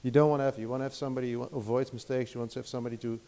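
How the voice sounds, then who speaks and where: A man's voice: medium pitch, steady tone, rapid-fire, dark tone. A middle-aged Dutch man with a Dutch accent giving an academic lecture in English, hesitant, with many restarts and fillers. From Netherlands. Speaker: guest lecturer.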